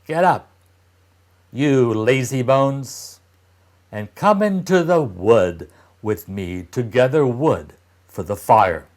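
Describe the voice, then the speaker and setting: Man reading as a stern, bossy storybook stepmother, slow-paced. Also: mid-range voice, animated, a little mellow. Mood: bossy scolding. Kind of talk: storybook read-aloud.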